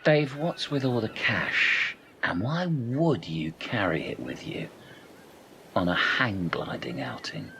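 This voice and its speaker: Sceptical male castaway played by a man for radio comedy; sarcastic, pedantic, lively intonation, faint noise behind. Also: fairly low pitch, slow pace, full character voice. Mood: suspicious. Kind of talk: comic radio play acting.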